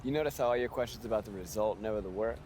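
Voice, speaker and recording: A man's voice: fairly low pitch, racing, a little mellow, a little hiss or hum, close to an everyday voice. A man acting a blunt, streetwise American basketball mentor in a game cutscene, firing rhetorical questions with emphasis. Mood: blunt, challenging. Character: seasoned basketball mentor, blunt, preaching hard realism to youngster.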